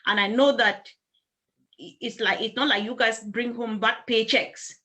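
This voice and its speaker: A woman's voice: a little high, steady tone, measured pace, sharp tone. A woman interviewing guests in a casual conversation, often stammering and repeating words as she asks questions.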